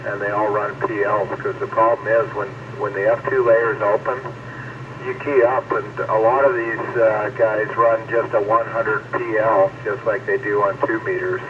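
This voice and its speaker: A man's voice: on the low side, little pitch movement, normal speed, far from the mic. A man in casual technical chat over two-way radio, explaining things hesitantly as a hobbyist. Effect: two-way radio transmission. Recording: dull, noisy two-way radio transmission.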